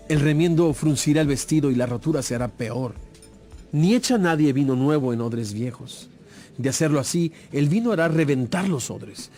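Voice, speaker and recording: A man playing a calm, firm teacher with measured, emphatic delivery in a dramatized audio Bible. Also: fairly high pitch, lightly acted.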